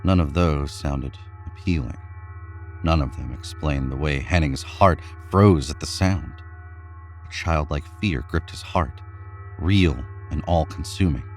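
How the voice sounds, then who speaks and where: A man reading a horror story aloud in a measured, suspenseful way. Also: extremely low pitch, soft background music. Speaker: narrator. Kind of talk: scripted horror story narration.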